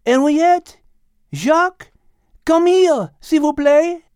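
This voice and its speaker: A man plays a refined French she-cat from an animal audiobook. The voice is high and lilting, with a French accent and precise, learned phrasing. It sounds gentle and clever, recorded close and dry. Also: slow, dry recording. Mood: calling out. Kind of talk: audiobook character acting.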